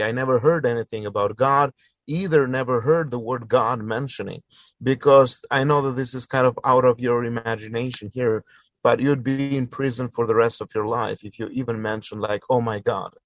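A man's voice: medium pitch, fairly even intonation, normal speed, no room sound. A man in his forties from Albania, speaking English, earnestly telling his story as an interview guest with fillers and restarts. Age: 40s. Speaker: guest, church planter. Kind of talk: interview answers.

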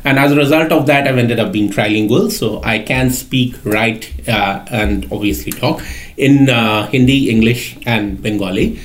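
A middle-aged man talks methodically about himself, filling pauses with "uh". His voice sits at an ordinary pitch for a man. He sounds like a lecturer guest on a podcast. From India. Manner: methodical, with frequent uh fillers. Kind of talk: podcast interview.

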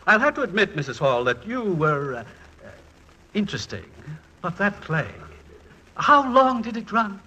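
An actor playing a fussy, tactless man who talks in halting, pointed phrases, in 1940s radio style. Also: sits high, sing-song, pushed and bright, husky, heavily acted, rough recording. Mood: prickly, condescending. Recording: vintage 1940s radio recording.

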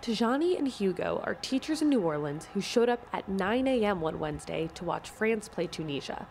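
Woman, radio reporter, scripted feature narration, mid pitch, faint background noise. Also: expressive, normal speed, gravelly, a little mellow.

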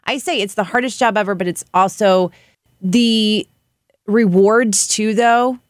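A woman's voice: mid-range voice, steady tone, a little slow, no room sound, studio quality. A woman hosting a podcast in a casual, empathetic conversational style. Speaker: podcast host, parent of young children.

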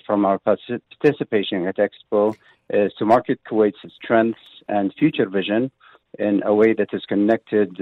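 A man's voice: lowish voice, no echo. A man speaking as a guest in a radio interview, hesitant, full of "uh" and restarts. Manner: hesitant, many fillers and restarts. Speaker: pavilion representative guest. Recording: tinny phone line, dry.

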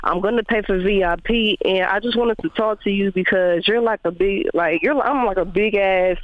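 An excited young woman from Milwaukee calling in, talking in a rambling way and laughing often, over a phone line. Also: medium pitch, normal speed, a little hiss or hum. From Milwaukee, Wisconsin. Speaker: caller. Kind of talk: phone-in call.

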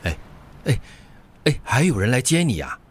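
An actor playing a friendly, caring middle-aged uncle in a radio drama, with a Singaporean accent. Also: male voice, mid-range voice, very animated, normal speed, slightly rough. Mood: warm, jovial. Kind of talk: Mandarin radio drama acting.

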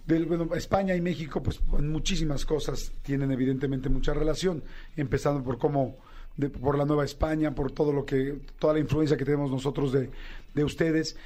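A Mexican man hosts a radio interview in a fairly high but mellow voice. He chats with plenty of fillers and asks with real curiosity. He sounds at ease in a studio. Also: steady tone, brisk. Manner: chatty, many fillers, curious. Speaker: radio host.

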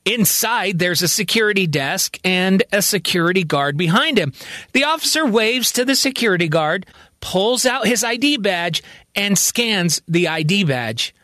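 A man narrating a science-fiction story in a calm, conversational storytelling manner. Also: sits high, no echo, studio quality. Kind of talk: spoken sci-fi story narration.

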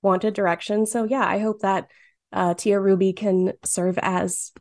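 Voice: medium pitch, level tone, unhurried, no room sound, clean studio sound. Chatty cartoonist in late twenties. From Michigan, US. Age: late 20s. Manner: chatty, many fillers, laughs often. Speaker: guest cartoonist. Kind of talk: casual interview answers.

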